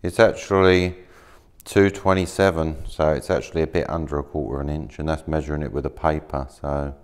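Low-voiced man demonstrating in a workshop. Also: brisk. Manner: relaxed, rambling, many fillers and restarts. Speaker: maker demonstrating laser-cut materials. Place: workshop, indoors, at a work table.

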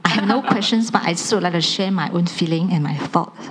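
A Malaysian woman in her forties, speaking from the audience into a handheld mic at a conference, talking in an animated, halting way and often repeating herself. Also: lowish voice, crowd noise. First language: Chinese. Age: 40s. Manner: animated, halting and repetitive, rising excitement. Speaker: audience member. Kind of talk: audience comment at a panel. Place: large conference hall, in the audience.

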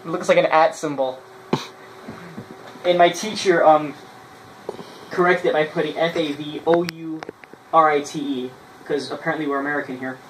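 A man's voice: on the high side, fairly even intonation. Young man in a roomy, distant recording. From Canada. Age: 20s. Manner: halting when reading, self-mocking asides. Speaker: vlogger. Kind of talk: casual vlog, reading childhood writing aloud. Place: small bedroom, indoors. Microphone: camera a few feet away. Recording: roomy, distant mic with noise.

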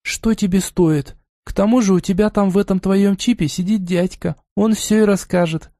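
A man's voice: sits high, fairly even intonation, warm tone, dry recording, studio quality, pitched above the performer's own voice. A man voicing a playful, clingy young woman who wheedles and drawls with pleasure in an audiobook. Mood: wheedling.

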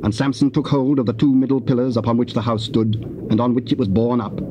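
A man's voice: medium pitch, flat delivery. Solemn male scripture narrator on dull cassette. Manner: measured, formal. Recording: dull, faintly noisy cassette recording.

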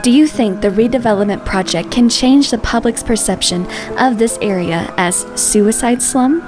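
High, eager press reporter played by a woman. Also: moderate pace, over music, natural voice. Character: eager female press reporter calling out questions. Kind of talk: audio drama acting.

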